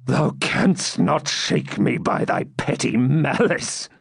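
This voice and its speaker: Man voicing a stubborn dying baron, growling, fierce, lively pitch, dry sound. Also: slow, creaky, slightly rough, no room sound, exaggerated voice. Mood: defiant. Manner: growling, defiant outbursts.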